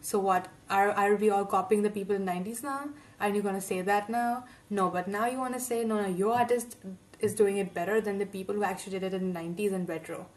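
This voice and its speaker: A woman's voice: mid-range voice, fairly even intonation, fast talker, hardly any pauses, distant mic, poor audio. A young woman from India, with English not her first language, giving outspoken, emphatic commentary in a reaction video recorded in her bedroom on an earphone mic. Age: young adult. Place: home bedroom, indoors.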